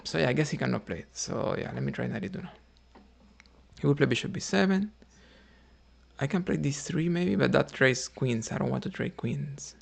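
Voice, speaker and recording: A man from Cuba, streaming his chess games and quietly thinking his moves out loud. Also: medium pitch, lively intonation, a little fast, gravelly. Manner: thinking aloud, muttering moves quietly, hesitant.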